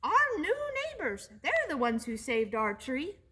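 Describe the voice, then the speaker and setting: A woman's voice: sits high, wildly swooping pitch, measured pace, pushed and bright, full character voice. A woman voicing a cheery male woodpecker in a storybook, chirpy and modest.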